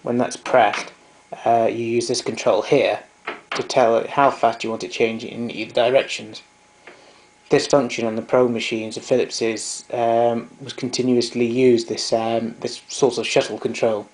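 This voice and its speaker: A man giving an informal technical demonstration in a hesitant, halting way, as a hobbyist showing equipment. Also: mid-range voice, little pitch movement, a little mellow, low-quality recording. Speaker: vintage tape recorder enthusiast demonstrating equipment. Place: indoors, small domestic room.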